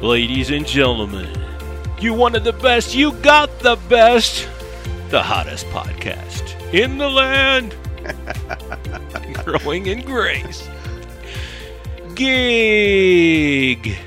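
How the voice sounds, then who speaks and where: A man voicing a booming male rock-concert announcer with a drawn-out, hyped delivery. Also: huge pitch swings, bright, forceful tone, faint music behind, heavily acted.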